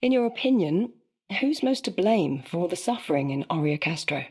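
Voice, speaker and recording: Measured journalist for a game; lowish, slightly husky voice. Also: female voice, sing-song, a little mellow. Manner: polite, measured questioning. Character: calm female journalist, probing. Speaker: journalist interviewer. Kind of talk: game cutscene voice acting.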